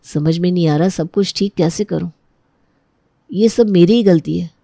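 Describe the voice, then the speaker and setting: A woman acts out an apologetic, worried husband in a family story drama. The voice is soft-edged and mellow at a medium pitch. The recording is very dry. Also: normal speed, vocal fry, dry recording. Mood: worried, pleading.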